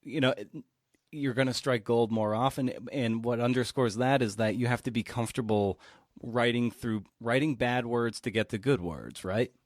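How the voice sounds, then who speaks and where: A man hosts a casual podcast interview. He speaks at an average pitch with an enthusiastic, meandering way of putting questions, recorded close and dry with no room sound. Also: normal speed, no echo.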